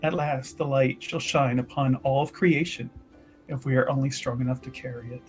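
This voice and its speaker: A devout pilgrim sister of a fantasy faith, acted out by a man at a game table. The speech is formal, earnest and reverent. Soft music sits behind the voice. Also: medium pitch, fairly even intonation, moderate pace, close to an everyday voice, rough recording. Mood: devout, resolute.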